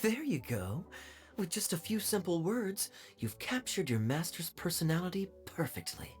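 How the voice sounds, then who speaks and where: Low-voiced, poised young lady. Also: sing-song, moderate pace. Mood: gently teasing. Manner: polite, composed, articulate. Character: poised, considerate, teasing young woman. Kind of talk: anime game voice acting. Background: game music.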